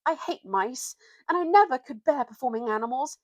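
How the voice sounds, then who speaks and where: A bratty schoolboy from a storybook, put on by a woman. He wails and sneers in a high, loud whine, full of snobbish disgust. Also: expressive, normal speed, no echo. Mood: disgusted wailing. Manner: wailing, sneering complaint.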